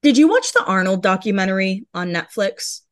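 A woman's voice: mid-range voice, lively intonation, a little fast. A woman co-hosting a podcast, chatting and reading out celebrity blind items in a casual way. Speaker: podcast co-host. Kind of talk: casual celebrity gossip chat.

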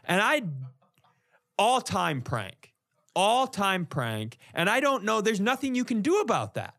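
Animated, higher-voiced man telling comic stories. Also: measured pace, vocal fry. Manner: animated, exasperated comic delivery, profane. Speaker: comedian, podcast co-host. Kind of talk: casual comedic storytelling podcast chat.

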